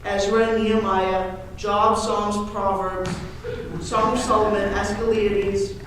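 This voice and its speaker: Echoey, earnest, awkward young suitor played by an actor. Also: male voice, sits high, level tone, takes it slowly, fairly bright tone. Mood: eager. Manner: earnest, plain, polite. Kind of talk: amateur stage acting. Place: indoor school stage, recorded from afar. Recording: echoing far-off stage recording, faint noise.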